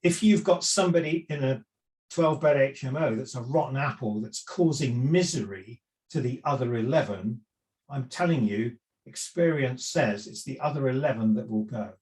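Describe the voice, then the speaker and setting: A man's voice: medium pitch, moderate pace, a little mellow. Man, middle-aged, seminar speaker, conversational, fillers and restarts. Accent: none Welsh. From lived in South Wales for years. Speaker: property investment speaker. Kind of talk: presenting a seminar talk.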